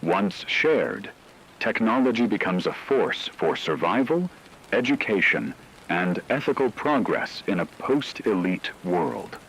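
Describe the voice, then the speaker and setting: Deep-voiced actor as solemn male radio anchor. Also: animated, a bit bright, slight background noise, heavily acted, rough recording. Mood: earnest, solemn. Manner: formal, measured. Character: male radio news anchor of a post-elite Earth, earnest and solemn. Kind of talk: scripted news broadcast narration.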